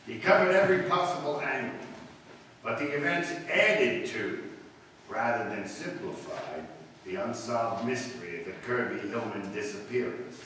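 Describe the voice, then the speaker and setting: A man performs an all-knowing narrator of an old radio mystery, dramatic and suspenseful. His mid-pitched voice comes from far off in a very echoey hall. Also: lightly acted. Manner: dramatic, addresses the character directly. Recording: distant echoey hall, faint noise.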